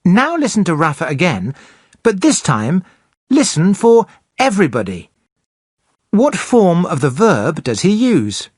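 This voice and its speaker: A presenter explaining English grammar slowly and clearly for beginners. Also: mid-range voice, huge pitch swings, no echo, clean studio sound. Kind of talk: scripted teaching narration.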